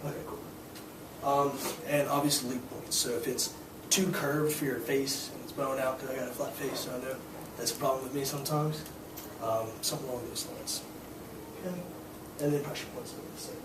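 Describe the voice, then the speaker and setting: A hoarse young man teaching a scuba class, explaining gear and skills in a casual, filler-heavy way. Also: mid-range voice, steady tone, a little fast. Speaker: scuba instructor. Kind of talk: hands-on demonstration to a class. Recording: echoey room, distant mic, noisy.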